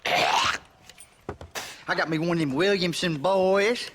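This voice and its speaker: An actor playing a dim, suspicious, belligerent American deputy in a western game, gruff and blustering. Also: male voice, high-pitched, slow, a bit bright, heavily acted. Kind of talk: western video game acting.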